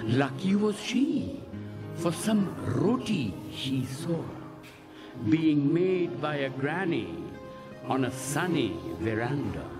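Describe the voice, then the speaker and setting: A man's voice: fairly high pitch, sing-song, slow pace. A man narrating a rhyming children's story in a sing-song, scripted way. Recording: studio narration over music score.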